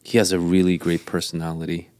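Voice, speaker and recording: A man's voice: sits low, completely flat delivery, fast, darkish tone, smooth. A man co-hosting a church podcast, throwing in dry, teasing one-liners during casual chat. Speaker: podcast co-host.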